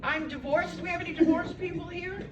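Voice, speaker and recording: A woman's voice: very high-pitched, fairly even intonation, quick pace. Older New woman doing stand-up. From Brooklyn, New York. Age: 70s. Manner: chatty, self-deprecating, banters with the audience. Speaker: stand-up comedian. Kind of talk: stand-up comedy set. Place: senior center hall. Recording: echoey hall, from far off, loud crowd. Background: audience reactions and room noise.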